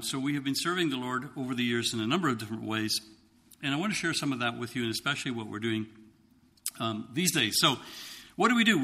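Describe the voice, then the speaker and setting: A man in his fifties with a slight Scottish accent, speaking to a church congregation as a missionary, halting with many ums and restarts. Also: mid-range voice, sharp tone, in a large hall. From Scotland.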